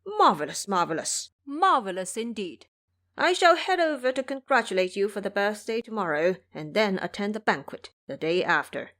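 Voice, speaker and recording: A woman voicing a delighted male Taoist demon who agrees with flattery in an audio drama. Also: mid-range voice, normal speed.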